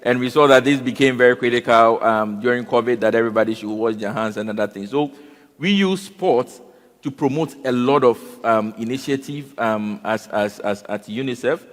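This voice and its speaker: A man speaking on a conference panel, often pausing with fillers and repeating words. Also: mid-range voice. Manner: halting, repeats words and fills with "you know" and "um". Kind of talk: panel discussion. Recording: long hall reverb.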